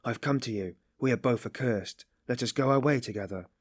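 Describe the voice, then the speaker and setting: A man's voice: fairly quick, no room sound, studio quality, close to an everyday voice. A man doing a stern, desperate young man. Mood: grim. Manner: low, grim and determined.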